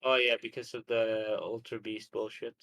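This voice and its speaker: A man's voice: lowish voice, flat delivery, moderate pace, creaky, pushed and bright. A man chatting casually with a friend about games, informal and freely swearing. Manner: profane, informal. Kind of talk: casual gaming chat.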